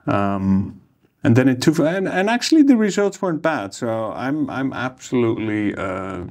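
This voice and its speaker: A man in his forties, a plastic surgeon, telling his life story in a relaxed interview, speaking easygoingly with many hesitations into a table microphone. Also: mid-range voice, normal speed. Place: ornate room, seated interview. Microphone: studio microphone on table.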